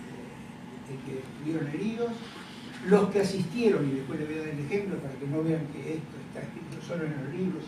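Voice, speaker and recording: An older man with an Argentine accent giving a conference lecture as a professor, halting and repeating words, with questioning check-ins. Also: a little high. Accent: Argentine Spanish. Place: large echoey university lecture room. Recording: noisy, echoey hall, distant microphone.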